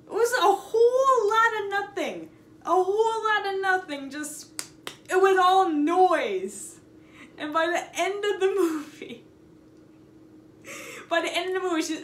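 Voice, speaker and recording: A young woman casually telling an anecdote in a chatty, animated, sarcastic way, stretching words for emphasis. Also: extremely high pitch, measured pace, long pauses. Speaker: storytime vlogger. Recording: roomy echo, noticeable background noise.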